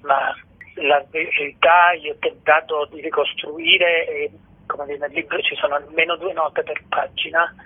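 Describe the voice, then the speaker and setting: A man speaking as an interviewed author in an earnest, halting way over the telephone. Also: on the high side, slightly rough. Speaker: author interviewed about own book. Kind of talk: radio phone interview. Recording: muffled, narrow phone line.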